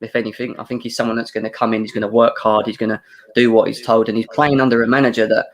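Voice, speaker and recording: A man on a podcast panel who talks quickly and loosely, tripping over his own words and circling back as he goes. He sounds like an everyday fan chatting over a video call. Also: fairly low pitch, little pitch movement. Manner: fast, rambling, repeats words. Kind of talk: casual football chat.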